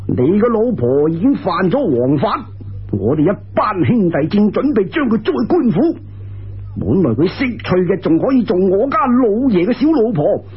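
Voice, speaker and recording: A man's voice: high-pitched, husky, lo-fi sound, exaggerated voice. A man voicing a sneering, bullying gang ruffian with a Cantonese accent, taunting and menacing in a storytelling session.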